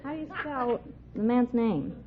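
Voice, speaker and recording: A woman's voice: a little high, measured pace. A woman answering a host's questions as a contestant on an old radio quiz. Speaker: quiz contestant, public stenographer. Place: radio studio before a live audience. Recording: dull, noisy old radio recording.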